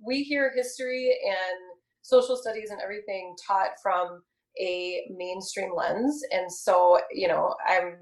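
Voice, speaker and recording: A woman in her thirties speaking earnestly and measuredly in a casual discussion, pausing often with ums. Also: medium pitch, steady tone, roomy sound, low-quality recording.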